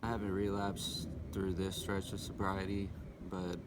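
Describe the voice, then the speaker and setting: A man in his twenties speaking haltingly in a documentary interview, with uh fillers. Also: low-pitched, monotone. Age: 20s. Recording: loud background noise.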